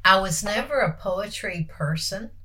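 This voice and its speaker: A woman's voice: mid-range voice, lively intonation, unhurried, pushed and bright, a little hiss or hum. A woman interviewer who is losing her voice, asking long, admiring questions in a casual way.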